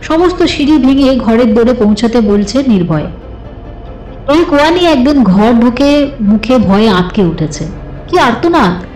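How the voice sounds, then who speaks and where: Woman storyteller, higher pitch, expressive dramatic reading, suspense tale, light music underneath. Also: a little slow. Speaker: narrator of an audio story. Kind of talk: dramatic scripted literary narration in suspense story. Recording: slightly roomy, with music behind. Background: soft background music.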